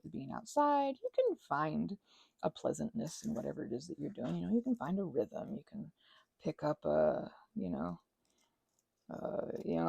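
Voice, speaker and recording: A woman's voice: lowish voice, creaky, a little mellow, no room sound. A woman in a casual, rambling chat, telling stories in a meandering, self-deprecating way with little acted-out asides. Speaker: host of a crafting ramble and ASMR channel.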